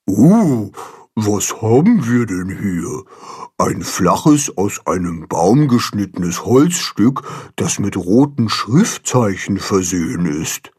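A storyteller doing pompous old carp, slow, low, husky, distant. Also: sing-song, darkish tone, exaggerated voice. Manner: slow, ponderous.

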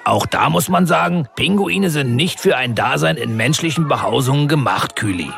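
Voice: mid-range voice, expressive, normal speed, vocal fry, a bit bright, slight background noise. A performer playing a dry, lecturing know-it-all adult in a children's radio comedy.

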